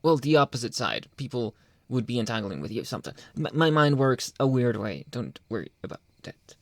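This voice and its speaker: A man playing a jealous, controlling young boyfriend in an intimate ASMR roleplay, halting and pleading, often dropping toward a whisper. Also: mid-range voice, very animated, no echo. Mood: sheepish, evasive. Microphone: close, dry microphone.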